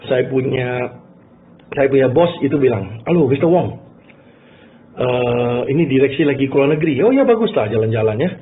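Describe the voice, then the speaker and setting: A man's voice: mid-range voice, lively intonation, normal speed. Man presenting informally over phone-like audio. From Malaysia. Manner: conversational, checks in with listeners using tag questions. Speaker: business presenter. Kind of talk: informal motivational talk telling a personal story. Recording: muffled phone-like call audio.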